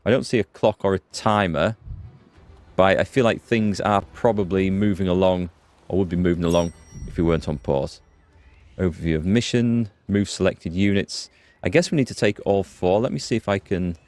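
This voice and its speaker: A man's voice: low-pitched, normal speed, a little mellow. A man streaming a strategy game live, chatting casually, thinking aloud and greeting viewers by name. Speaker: gaming streamer. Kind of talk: live let's play commentary.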